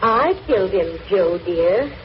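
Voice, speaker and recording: An actress playing a dying murderess making an intimate, deliberate confession in 1940s radio style. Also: female voice, medium pitch, lively intonation. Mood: coolly confessing. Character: dying woman murderer confessing on a record, coolly remorseless, fearful. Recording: muffled old radio recording, faint noise.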